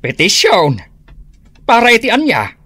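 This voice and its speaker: A man's voice: high-pitched, wildly swooping pitch, measured pace, pushed and bright, husky, dry recording, exaggerated voice. A man playing an aggrieved older father with an Ilocano accent, stuck with the housework, in a comedy radio drama. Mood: curious, conspiring.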